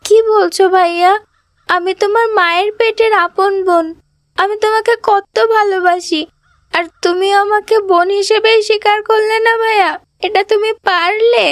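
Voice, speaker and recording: A woman's voice: very high voice, fairly even intonation, normal speed, no echo, clean studio sound. A woman voicing a devoted little sister, a young girl with a tender, pleading manner, in a children's cartoon. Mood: hurt, pleading. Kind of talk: children's moral cartoon voice acting.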